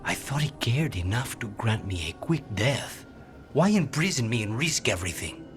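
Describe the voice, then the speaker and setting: A man's voice: medium pitch, very animated, moderate pace, fairly bright tone, soft background music. A man playing a grim, wronged assassin in a video game, terse, controlled and brooding. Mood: cold resolve.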